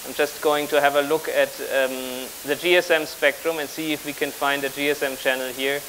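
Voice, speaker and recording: A man in his thirties speaking haltingly as he improvises a live technical demonstration as a conference speaker. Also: a little high, level tone, fairly bright tone, slight background noise, low-quality recording. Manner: halting, improvising while working at a laptop. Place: large auditorium on stage with audience.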